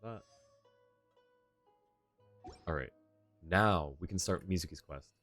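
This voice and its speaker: A male game streamer with a low voice talks casually while he plays. He rambles and laughs often. Quiet music runs underneath. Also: takes it slowly. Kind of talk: casual gameplay chat.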